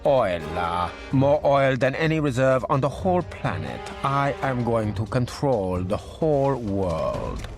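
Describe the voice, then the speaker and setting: Slow, swooping pompous dictator. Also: male voice, medium pitch, very animated. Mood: smug, grandiose. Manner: pompous, grandiose, theatrical delivery. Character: pompous megalomaniac male dictator, theatrical and imperious. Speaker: video game villain. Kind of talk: video game cutscene acting. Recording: music behind the voice.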